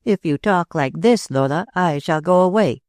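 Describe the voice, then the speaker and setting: A woman voicing a calm, curt young Englishman in a read-aloud story. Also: lowish voice, moderate pace, no room sound, studio quality, close to an everyday voice. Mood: cool. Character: cool-headed young Englishman.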